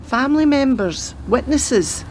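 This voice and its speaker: A woman in a radio play acting a world-weary, sceptical grandmother who used to be a policewoman, speaking in a dry, measured way. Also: high voice, lively intonation, a little mellow, slight background noise.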